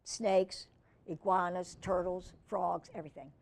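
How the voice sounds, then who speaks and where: A woman's voice: on the low side, steady tone, measured pace, gravelly, a little mellow. A woman reading aloud as a children's storyteller, with informal asides along the way.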